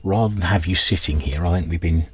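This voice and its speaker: A man's voice: low-pitched, fast talker, husky. A man giving casual hands-on workbench commentary, hesitantly thinking aloud as he works. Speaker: host of a radio restoration channel.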